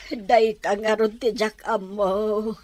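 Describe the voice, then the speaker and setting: A woman playing a worried, grieving mother in an Ilocano audio drama, speaking in a plaintive, drawn-out way. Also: medium pitch, little pitch movement, darkish tone, no echo, lightly acted.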